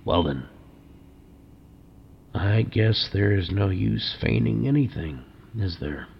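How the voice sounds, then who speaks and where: An actor playing a weary, defiant research doctor in an audio drama, gravelly and deliberate, with resignation in his delivery. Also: fairly low pitch, animated, muffled recording, slight background noise, heavily acted. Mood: resigned.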